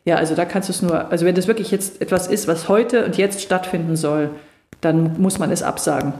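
A middle-aged woman with a slightly low voice talks through stage fright in a calm, explanatory way. She reassures her conversation partner like an experienced coach. The room around her has a noticeable echo. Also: a little fast, slight background noise. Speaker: voice and charisma coach. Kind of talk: podcast conversation. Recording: echoey room.

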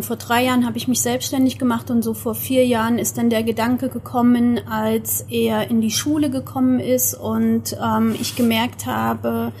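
A woman in her thirties, a photographer, answering interview questions in a steady, earnest way with some fillers, sitting outdoors. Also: on the high side, slight background noise. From Germany, near Erfurt. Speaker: guest, photographer. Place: outdoor terrace. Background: outdoor ambience.

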